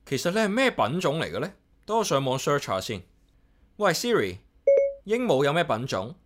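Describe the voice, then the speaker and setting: An actor playing a solitary, caring young office worker with a Hong Kong accent, narrating and chatting casually in a radio drama. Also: male voice, fairly high pitch, sing-song, normal speed, fairly bright tone. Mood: teasing, curious. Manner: casual, talks playfully to himself and pet. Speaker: lead character and narrator. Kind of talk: radio drama dialogue and inner-monologue narration.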